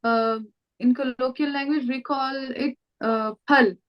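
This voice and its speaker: A woman's voice: high-pitched, fairly even intonation, unhurried, muffled recording. A woman from India with an Indian English accent, teaching a language lesson over a video call and patiently repeating words for her student. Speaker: language tutor.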